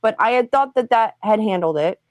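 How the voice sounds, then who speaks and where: A woman's voice: fairly high pitch, lively intonation, a little fast, no echo. A woman on a livestream talk show, speaking bluntly and pressing hard questions, with frequent swearing.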